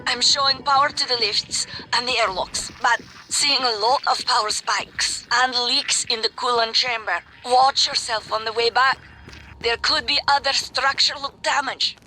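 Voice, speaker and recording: Irritable, no-nonsense space engineer: higher register, brisk game dialogue over music. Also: female voice, fairly even intonation, bright, forceful tone, poor audio. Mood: relieved, wary. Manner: brisk, blunt. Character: brisk, irritable, practical station engineer woman.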